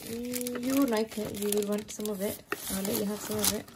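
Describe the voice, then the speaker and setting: A woman chatting casually with a young child in a gentle, coaxing, reassuring way, indoors in a home kitchen. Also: medium pitch, steady tone, fairly quick. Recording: noisy room, handling and crinkling sounds.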